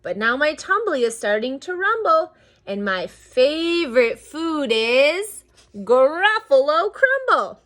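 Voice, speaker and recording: Lady doing a cheeky boastful little mouse for a kids story, high n sing-song, goes up and down loads. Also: sing-song, moderate pace, few pauses, bright, forceful tone, heavily acted. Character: small bold boastful mouse, cunning storybook trickster.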